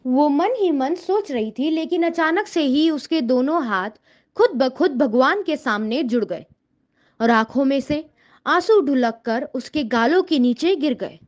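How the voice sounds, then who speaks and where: A woman's voice: high-pitched, expressive, measured pace, lo-fi sound. A woman narrating a scripted children's story as the storyteller.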